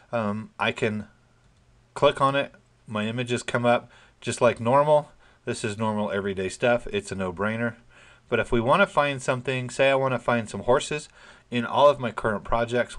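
A man giving an informal, chatty screen-recorded software tutorial, explaining each step plainly and downplaying his own expertise. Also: mid-range voice.

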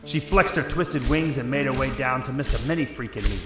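A hard-boiled detective narrates a noir radio serial, performed by a man. His delivery is terse and dramatic at a middle pitch, in a roomy recording with clear music behind. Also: fairly quick, lightly acted. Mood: tense recounting. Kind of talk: first-person scripted noir narration. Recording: echoey room, music underneath.

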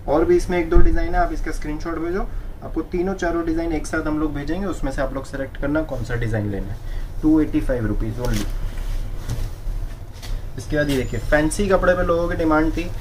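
A young man with a slightly high voice gives a brisk wholesale sales pitch for sarees. He sits on the floor of an indoor shop, repeating each price in English. Faint room noise hums behind him. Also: fairly even intonation, low-quality recording. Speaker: saree seller. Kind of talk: wholesale product showcase.

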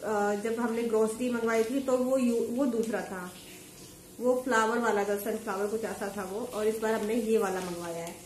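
A woman's voice: on the high side, fairly even intonation, moderate pace, a little mellow. A woman in her thirties chatting to camera at home, explaining each item she holds up. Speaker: vlogger showing a grocery haul. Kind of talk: casual chat to camera. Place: home living room, indoors. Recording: echoey room with faint ambient noise.

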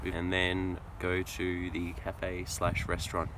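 Deep-voiced young man vlogging casually. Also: monotone, slow. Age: 20s. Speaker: travel vlogger. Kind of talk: casual vlog chat. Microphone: camera or phone at arm's length. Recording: noisy handheld camera audio.

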